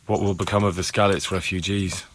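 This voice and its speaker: Actor as an earnest, lowish-voiced young commoner. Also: male voice, flat delivery, brisk, a little hiss or hum, lightly acted. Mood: curious. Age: young adult. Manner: respectful, earnest. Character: earnest young blacksmith's son seeking service. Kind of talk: video game dialogue acting.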